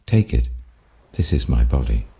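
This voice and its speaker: British man playing grave authoritative teacher, dark husky voice, solemn, deliberate, emphatic, scripture audiobook. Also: very deep voice, very animated, muffled recording, pitched below the performer's own voice, full character voice.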